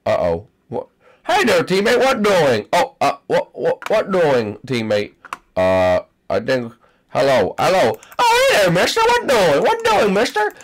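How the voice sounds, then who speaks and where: A man's voice: fairly high pitch, very animated, a little slow, full character voice. A man voicing a babyish, whiny young boy character in broken baby talk while playing a game. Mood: confused. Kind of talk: gameplay commentary in character.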